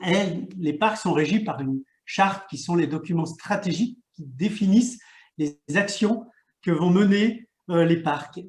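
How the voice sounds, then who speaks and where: A man, a local mayor, giving a halting panel presentation over a video call, often repeating words as he searches for them. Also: sits high, a little mellow. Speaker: mayor and regional park president. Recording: echoey room.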